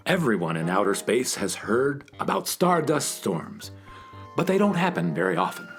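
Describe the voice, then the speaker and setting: Animated man narrating a children's story. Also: medium pitch, moderate pace, creaky, soft background music. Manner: addresses young listeners directly.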